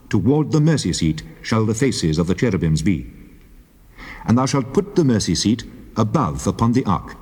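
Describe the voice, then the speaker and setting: A man playing God as a solemn, commanding deity, giving measured, declamatory instructions in a dramatized scripture reading. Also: lowish voice, darkish tone, husky. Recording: long cavernous reverb.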